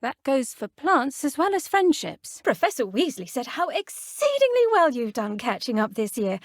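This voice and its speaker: A woman with an English accent voicing a kindly, cheerful young witch who teaches herbology in a video game, with soft, breathy speech and a gentle, polite, nurturing manner. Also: sits high, no echo, studio quality, exaggerated voice. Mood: admiring. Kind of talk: video game character dialogue.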